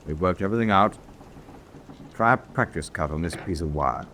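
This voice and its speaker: A low, matter-of-fact officer's voice over faint background noise. He is methodical and instructive, a calm planner in a vintage-style war radio drama. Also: normal speed. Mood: brisk, instructive. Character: methodical, calm POW officer briefing an escaper. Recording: noisy track with background ambience.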